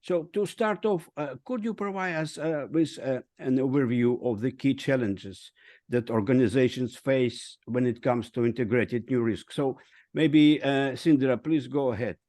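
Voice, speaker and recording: A man hosting a podcast interview in a formal, halting manner. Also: medium pitch, mellow, no echo, clean studio sound. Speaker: podcast host.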